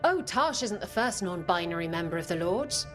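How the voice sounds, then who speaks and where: An actress playing a brash, hearty adventurer in a video game cutscene, blunt and theatrical. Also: female voice, fairly low pitch, animated, normal speed, a bit bright, faint music behind. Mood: boastful storytelling. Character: brash, hearty woman adventurer telling tall tales.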